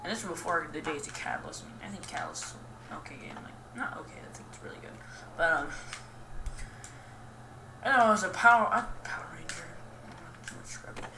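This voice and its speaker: A young woman giving casual, rambling game commentary in a self-deprecating, goofy and halting way. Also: lowish voice, animated. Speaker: gaming video host. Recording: roomy, distant mic with clear noise.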